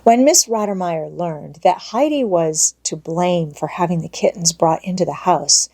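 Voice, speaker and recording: A woman narrates a storybook with expression. Her voice is on the low side and notably mellow. The delivery is that of a scripted audiobook read aloud. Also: normal speed.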